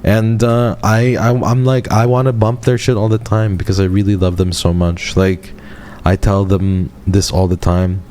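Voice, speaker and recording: A man in his twenties, a streamer rambling affectionately to his viewers in a casual livestream chat, his voice jumping up when he gets excited. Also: on the low side, moderate pace. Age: 20s. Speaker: VTuber streamer.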